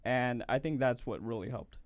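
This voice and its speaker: A man's voice: mid-range voice, normal speed, no room sound, muffled recording. A young man who is a patient, answering a question in an interview in a hesitant, thoughtful way. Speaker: guest, patient.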